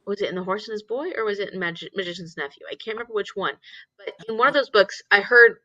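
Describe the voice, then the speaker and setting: A woman's voice: medium pitch, fast talker, pushed and bright, no echo, muffled recording. A woman hosting a casual live book discussion, thinking aloud and often posing questions. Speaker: teacher.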